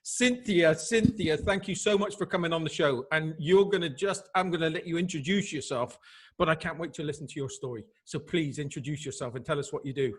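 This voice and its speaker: A man hosting a sports podcast, energetic and upbeat as he asks questions. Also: fairly high pitch, fairly quick, slightly rough. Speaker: podcast host.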